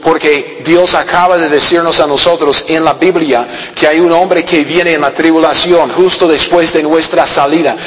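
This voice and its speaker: A man with a high voice delivers an earnest, questioning sermon, often restarting his phrases and pausing on fillers. His words ring out in a church hall with a long, echoing reverb. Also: fairly even intonation, a bit bright. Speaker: preacher.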